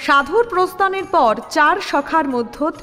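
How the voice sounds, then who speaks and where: A woman narrator briskly announces scenes and stage directions, her high voice rising and falling lively. A long hall reverb trails behind her, with faint music. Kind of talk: reading stage directions in an audio drama.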